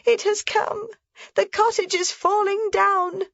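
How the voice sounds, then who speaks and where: A woman's voice: sits high, big pitch swings, measured pace, fairly bright tone, dry recording, pitched above the performer's own voice, full character voice. Lady putting on a scared old granny voice, quavery, dry recording. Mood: frightened. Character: frail fearful old grandmother. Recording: dry, slightly dull recording.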